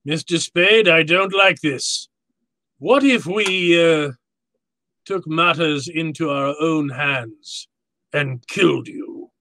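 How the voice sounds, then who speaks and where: An actor playing a jovial, cunning older crime boss in a radio drama, speaking with florid, formal politeness. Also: male voice, fairly high pitch, takes it slowly, pushed and bright, no room sound, full character voice, poor audio. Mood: wary, menacing. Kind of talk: old-time radio drama acting.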